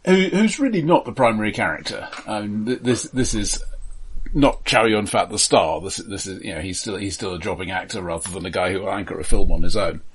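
A man co-hosting a casual film podcast with dry, ironic humour, often halting and repeating words as he goes. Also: lowish voice, a crowd in the background. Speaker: podcast co-host.

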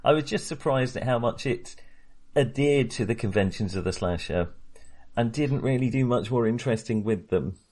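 A man co-hosting a film podcast in casual discussion, talking haltingly and often correcting himself. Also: mid-range voice, lively intonation, a little mellow. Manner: hesitant, halting, self-correcting.

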